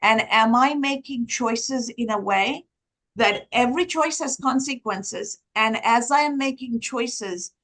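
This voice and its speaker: Earnest woman lecturing, slightly high voice. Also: fairly bright tone. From Sri Lanka. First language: Tamil. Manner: rhetorical questions, earnest and emphatic. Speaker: speaker at an academic session. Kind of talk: lecture-style talk.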